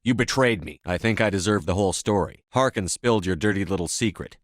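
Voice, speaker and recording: A man's voice: deep voice, fast talker, no room sound, clean studio sound, lightly acted. A man playing a commanding, no-nonsense military officer in a video game, speaking in a terse, direct, authoritative way. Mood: probing, serious. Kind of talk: scripted game dialogue.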